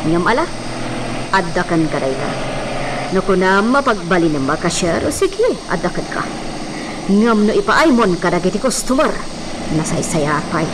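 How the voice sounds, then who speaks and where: A woman's voice: lowish voice, very animated, moderate pace, long pauses. A woman with an Ilocano accent playing a bossy, scheming mansion madam in an audio drama, giving orders in a commanding manner. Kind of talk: Ilocano radio-style drama acting. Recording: noisy track with crickets and insects.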